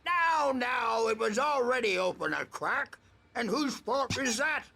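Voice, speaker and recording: An actor playing a crazy old rocker in a video game, rambling and conspiratorial in a cracked old voice, with halting, theatrical delivery. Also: male voice, extremely high pitch, expressive, vocal fry, sharp tone, exaggerated voice. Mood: weary, muttering. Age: older. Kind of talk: video game character acting.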